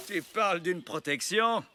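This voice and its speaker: A man's voice: high voice, slow, noisy background. An actor playing a proud, stern, short-tempered caveman in a French cartoon, bossy and scolding. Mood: disdainful. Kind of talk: French cartoon dub acting. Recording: loud music behind.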